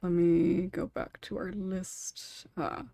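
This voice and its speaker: A woman hosts a casual panel discussion in a slightly low voice. She is apologetic and reassuring, prone to giggling as she moderates. The sound is dry and close. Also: level tone, slow, creaky, dark tone, no room sound. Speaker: podcast host and moderator.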